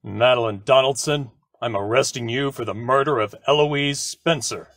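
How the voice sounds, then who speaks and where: A man's voice: mid-range voice, normal speed, fairly bright tone, dry recording. A man acting a polite, matter-of-fact police lieutenant in an old-time radio drama, with formal questioning delivery. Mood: official, probing.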